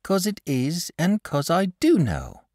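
A calm, bookish young boy, as a male reader puts him on, speaking in an even, matter-of-fact way with a lively lilt. The recording is close and dry. He is a storybook figure. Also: a little high, unhurried, no echo, voice pushed up, heavily acted.

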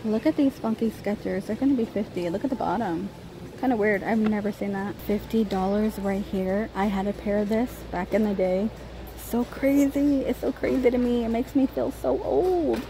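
American woman, medium-pitched voice, casual shopping vlog narration, informal and chatty, clear store ambience behind. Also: normal speed, background noise. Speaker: shopping vlogger. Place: inside a large shoe store. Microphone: handheld phone or camera held by the speaker.

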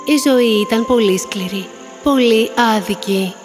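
A woman voicing a gentle, world-weary mare, a loving friend who speaks tenderly and sorrowfully in a cartoon. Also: fairly high pitch, natural voice. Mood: tender, mournful. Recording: long cavernous reverb, faint music.

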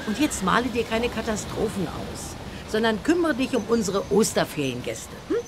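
A woman playing a brisk, reassuring mother in a children's radio play. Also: fairly high pitch, big pitch swings, slight background noise.

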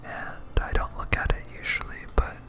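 A person whispering a hesitant, absent-minded ASMR playthrough of a video game, thinking aloud close to the microphone. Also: moderate pace. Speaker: gaming video host.

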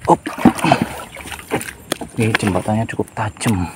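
A man's voice: lowish voice, fairly even intonation, unhurried, heavy background noise. A man casually commenting on his catch for viewers, eager and chatty, outdoors in a shallow river. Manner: eager, addresses viewers as friends. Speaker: fishing vlogger.

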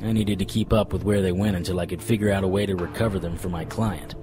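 An actor playing a wry, deadpan, hardboiled supernatural private investigator narrating a noir radio drama in a husky voice. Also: male voice, sits low, fast talker, over music, lightly acted. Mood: wry, watchful. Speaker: narrator and lead.

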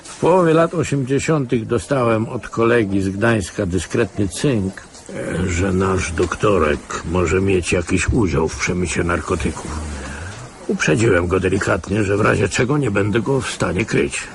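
A man's voice: lowish voice, normal speed, background noise, close to an everyday voice. An actor playing an older, retired secret-service colonel in a radio drama, wry and condescending as he speaks. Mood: confiding, wry.

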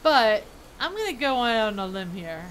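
A woman's voice: on the high side, big pitch swings, normal speed, a bit bright, a little hiss or hum. A woman giving casual, playful, colloquial commentary as she streams a video game.

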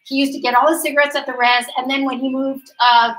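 A woman with a slightly high, bright voice chats and teases in a lively way. Her voice rings in a roomy, echoey living room. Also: fast talker. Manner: lively, chatty and teasing. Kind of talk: casual chat.

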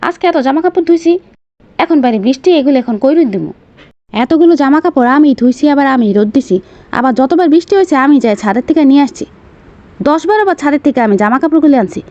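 A woman's voice: high-pitched, lively intonation, fast talker, mellow, dull audio. A woman playing a harried, grumbling cartoon mother with a Bangladeshi Bengali accent, complaining in colloquial speech. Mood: exasperated scolding. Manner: complaining, exasperated.

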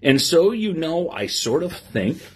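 A middle-aged man reading aloud to children in an expressive sing-song way, with simple asides to his listeners. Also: fairly high pitch, measured pace. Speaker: police lieutenant reading to children. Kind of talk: read-aloud story time with asides to young listeners. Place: small office, indoors. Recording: clear background noise with clicks.

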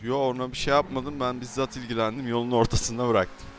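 A man's voice: mid-range voice, level tone, fairly quick. A man bantering confrontationally as he trolls other players in an online roleplay game, with game car noise behind him. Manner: teasing, confrontational. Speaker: YouTuber playing a roleplay game.

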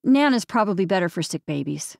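A woman's voice: mid-range voice, very animated, a little fast, creaky, no room sound, clean studio sound. A woman narrator voicing a blunt, busy young mother scolding her sister. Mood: sharp.

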